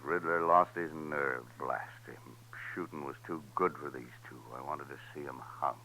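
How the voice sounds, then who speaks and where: An actor playing a world-weary, tough frontier gunfighter with gruff, folksy phrasing in a 1950s radio western. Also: male voice, very deep voice, normal speed, sharp tone, full character voice. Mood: grim, bitter. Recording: humming, noisy 1950s radio recording.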